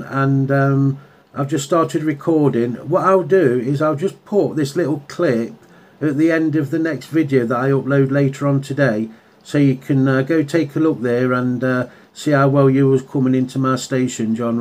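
A man's voice: mid-range voice, moderate pace, a little mellow. A man chatting casually over CB radio as a hobbyist, full of radio jargon. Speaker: radio hobbyist and video maker. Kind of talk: casual CB radio contact chat. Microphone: handheld radio microphone.

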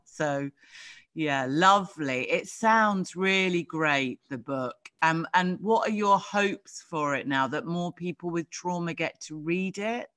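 A woman's voice: low voice, a bit bright. Effusive British woman hosting an interview. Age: middle-aged. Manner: effusive, exclaiming. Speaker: interview host. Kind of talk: casual interview chat. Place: living room at home. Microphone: video call.

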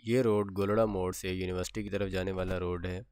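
A man's voice: sits low, level tone, a little fast, darkish tone, clear, resonant tone, no room sound, soft background music. A man with a Pakistani accent presenting a construction site update in a factual, matter-of-fact way. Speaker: video presenter.

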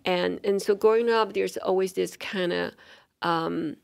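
A woman's voice: lowish voice, steady tone, a little slow, vocal fry. A middle-aged woman from Taiwan, a university dean being interviewed, speaking thoughtfully with many fillers and restarts on a studio mic. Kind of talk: interview conversation. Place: small bright indoor studio with sofa. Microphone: studio mic on stand.

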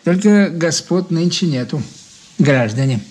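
An actor playing a polite, needling police interrogator in a radio drama. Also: male voice, a little high, lively intonation, unhurried, a little mellow, slightly rough. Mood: courteous.

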